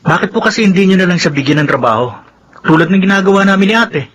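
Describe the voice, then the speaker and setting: An actor playing a dutiful, respectful young grown son in a Filipino radio drama, with a Filipino accent. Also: male voice, sits high, lively intonation, fast, fairly bright tone, muffled recording. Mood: polite, reluctant. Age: young adult.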